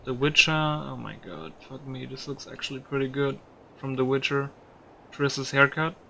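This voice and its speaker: A man's voice: medium pitch, normal speed, vocal fry, bright, forceful tone, slight background noise. A man giving casual video game commentary, musing aloud with some mild swearing. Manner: musing aloud, mildly profane.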